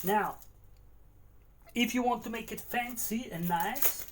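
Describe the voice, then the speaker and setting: A man's voice: extremely high pitch, slow pace, noisy background. Rambling Austrian man cooking on stream. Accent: Austrian German. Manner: rambling, repeats words, talks to himself. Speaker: livestreaming home cook. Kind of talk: casual livestream chat while cooking.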